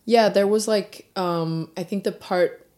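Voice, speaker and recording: A woman's voice: medium pitch, steady tone, a little slow, a little mellow. A young woman from Denmark, a rapper guest in a casual interview, chatty with many fillers and restarts.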